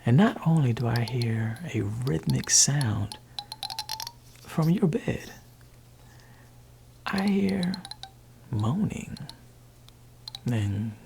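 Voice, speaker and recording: A man voicing a gentle, laid-back father in an ASMR roleplay, talking softly and whispering to his teenage child in a teasing way. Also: animated, extremely slow, vocal fry, pauses often, slight background noise, heavily acted. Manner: soft-spoken, shifting between low voice and whisper. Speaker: ASMR creator.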